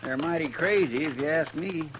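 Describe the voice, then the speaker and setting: An actor playing a folksy, complaining deputy sidekick with a rural American twang in a 1950s Western radio drama. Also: male voice, on the high side, moderate pace, lightly acted. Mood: exasperated, puzzled. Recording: noisy old radio drama recording.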